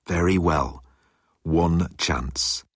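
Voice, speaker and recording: A man's voice: deep voice, very slow, slightly rough, no room sound, studio quality, heavily acted. A man voicing a pompous, menacing alien commander who speaks with pedantic deliberation in an audiobook. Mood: cold.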